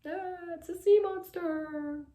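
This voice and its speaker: Woman reader as a panicky storybook beachgoer, high-pitched, loud, shrieking in alarm. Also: steady tone, takes it slowly, echoey room.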